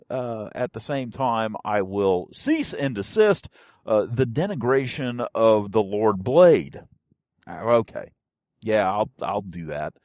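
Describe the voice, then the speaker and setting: Halting male podcaster, lively pitch, dull sound. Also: no room sound. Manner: halting, rambling, searching for words. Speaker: podcast host. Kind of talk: casual solo podcast monologue. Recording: dull, dry lo-fi audio.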